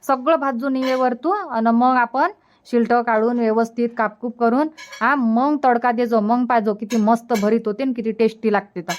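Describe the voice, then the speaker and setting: A woman plays a practical village mother from a comedy cartoon. Her voice is a little high, and she instructs matter-of-factly with a rural Varhadi Marathi accent. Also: fairly even intonation, moderate pace, slight background noise, natural voice. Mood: instructing. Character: village mother, practical and advising family elder. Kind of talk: colloquial cartoon comedy dialogue.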